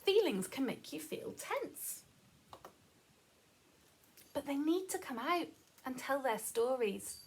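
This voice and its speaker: A woman reading a story aloud to children as a teacher, explaining as she goes in an expressive, sing-song manner with questions to the listeners. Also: high voice, takes it slowly, creaky, pauses often, a little hiss or hum.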